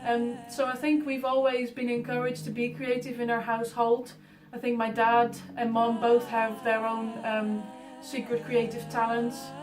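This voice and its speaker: Echoey, higher-voiced Dutch woman with music behind. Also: monotone, moderate pace, few pauses. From Netherlands. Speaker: artist being profiled. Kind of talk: informal talking about own life and art. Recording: roomy echo, distant mic, music behind.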